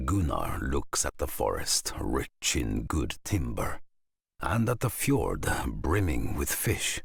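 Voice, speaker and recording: A grave saga storyteller narrates a Viking video game. The voice is extremely deep, gravelly and dry, moving slowly and solemnly through each line. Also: male voice, dry recording, full character voice. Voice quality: gravelly, husky. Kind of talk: dramatic scripted saga narration.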